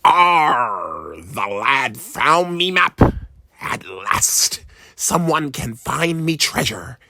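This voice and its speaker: A gruff old pirate captain's ghost spins a children's storybook tale. The voice is gravelly and swings widely in pitch, unhurried and nostalgic, thick with piratical arrs. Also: sing-song, sharp tone, no room sound, exaggerated voice. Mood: pleased, musing. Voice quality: gravelly, growling.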